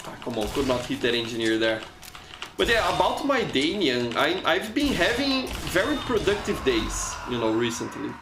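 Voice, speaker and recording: Brazilian man, Portuguese native, game streamer; chatty, stumbles and repeats words; a bit high-pitched; roomy sound, faint music. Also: big pitch swings, normal speed, background noise. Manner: chatty, frequent restarts and repeated words, reads chat aloud. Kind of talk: casual live gameplay commentary.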